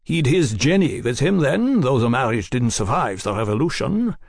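A man's voice: mid-range voice, sing-song, normal speed, gravelly, no echo, clean studio sound. A man voicing a bitter, resentful middle-aged exile, in a storybook reading. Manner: aggrieved, rage held back.

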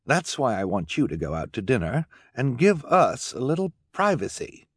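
A man voicing a haughty, gushing, fashion-obsessed rich woman for an audiobook, with affected emphasis on drawn-out words. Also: medium pitch, big pitch swings, breathy edge, no room sound, voice pushed up. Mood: bossy, self-important. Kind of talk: audiobook character voice.

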